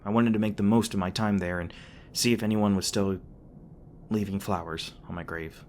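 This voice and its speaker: A man's voice: fairly low pitch, fairly even intonation, moderate pace, a little mellow, a little hiss or hum, natural voice. A man playing a flustered, tender demon boyfriend in an intimate ASMR roleplay, speaking hesitantly with stammers, bashful and a little defensive. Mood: wry. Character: flustered, tender young male demon boyfriend, secretly human-born.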